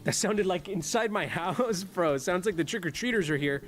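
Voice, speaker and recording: A man's voice: high-pitched, expressive, moderate pace, a bit bright, soft background music. A man streaming a horror game, trash-talking the characters with profane jokes and dropping Spanish slang into his English. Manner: joking, profane trash talk with the game's characters. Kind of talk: casual gameplay commentary.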